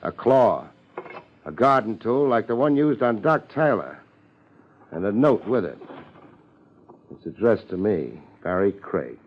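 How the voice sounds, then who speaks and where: An actor playing a laid-back, wisecracking private detective in a 1950s radio drama, with a breezy, teasing manner. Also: male voice, on the low side, big pitch swings, unhurried, pauses often, a little hiss or hum. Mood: dry, unruffled. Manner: wry, breezy, teasing banter. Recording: muffled vintage 1950s radio recording.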